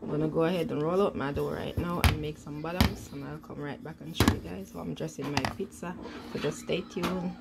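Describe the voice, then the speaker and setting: A woman's voice: deep voice, steady tone, a little fast, gravelly, very noisy. A woman with a stuffed-up cold, chatting casually through a home cooking demonstration and often saying "yes, guys.". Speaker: home cook vlogger.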